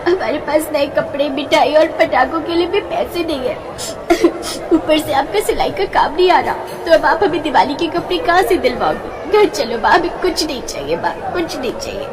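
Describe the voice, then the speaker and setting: A woman's voice: sits high, normal speed, recorded from a distance, crowd noise, background noise, pitched above the performer's own voice, close to an everyday voice. A woman doing a crying little girl of six. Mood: tearful. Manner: tearful, pleading. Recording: reverberant with soft background music.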